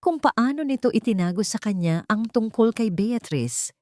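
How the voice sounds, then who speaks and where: A woman with a Filipino accent narrating a dramatic audiobook story in an expressive, theatrical way. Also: a little high, normal speed, dry recording, clean studio sound.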